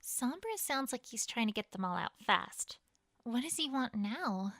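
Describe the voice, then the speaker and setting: A woman's voice: a little high, expressive, measured pace, fairly bright tone, no echo, clean studio sound. A woman reading a first-person fantasy story as a dramatic narration. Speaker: fan fiction reader.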